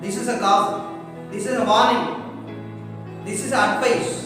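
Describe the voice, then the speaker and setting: A man's voice: high voice, fairly even intonation, very slow, far from the mic. Guy preaching hard, emphatic and repetitive, big echoey hall w long reverb, faint music behind. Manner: exhorting, emphatic, repetitive rhetorical questioning. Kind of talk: fervent sermon to a congregation.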